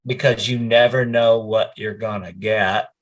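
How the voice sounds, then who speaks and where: Slow-paced man chatting casually in an interview. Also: lowish voice, fairly even intonation, dull audio. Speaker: voice actor, interview guest.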